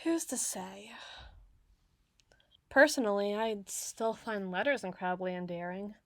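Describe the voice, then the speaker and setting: A woman's voice: medium pitch, unhurried, fairly bright tone, dry recording, clean studio sound. A woman playing a flustered, haughty teenage tsundere girl in an ASMR roleplay, bookish and socially awkward, with formal, wordy diction. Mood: flustered musing.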